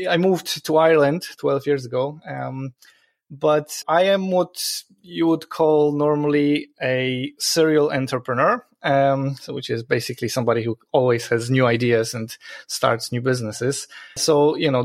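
A Polish-accented man talks as a podcast guest, rambling through his answers with lots of "you know" and "um". His voice is of middle pitch. The recording is close and dry. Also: dry recording. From Poland. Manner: rambling, many fillers. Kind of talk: casual interview answers.

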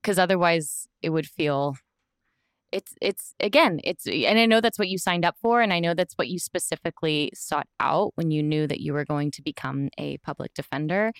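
A woman hosting a podcast, asking questions in a halting, friendly way with many restarts. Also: low-pitched, clean tone, no room sound, studio quality. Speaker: podcast host. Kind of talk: interviewing in casual chat.

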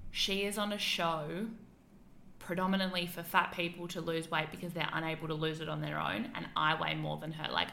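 Bright young woman, British-Australian accent. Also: lowish voice, creaky, reverberant, a little hiss or hum. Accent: mixed British and Australian. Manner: chatty, laughs often, many fillers. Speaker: guest. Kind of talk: casual interview chat.

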